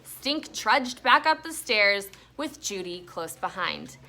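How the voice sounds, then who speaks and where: Bright, animated woman teacher reading aloud. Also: medium pitch, takes it slowly. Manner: expressive, explanatory. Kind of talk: children's read-aloud lesson with questions.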